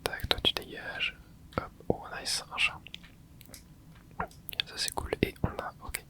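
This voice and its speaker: Whispering young man, hushed game commentary. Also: slow pace. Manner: soft close whisper, relaxed running reactions. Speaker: ASMR gaming channel host. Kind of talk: whispered ASMR gameplay commentary.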